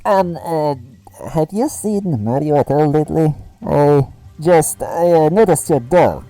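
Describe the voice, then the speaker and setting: A man's voice: a little high, slow pace, dark tone, no echo, pitched above the performer's own voice, low-quality recording. A man voicing a nervous, awkward underling in disguise who hesitates and stammers timidly. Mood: startled, awkward.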